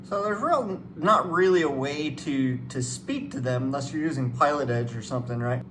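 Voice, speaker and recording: A man's voice: mid-range voice, moderate pace, fairly bright tone. A man giving casual hands-on commentary as a flight simulator guide, rambling and thinking aloud. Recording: clearly noisy room background.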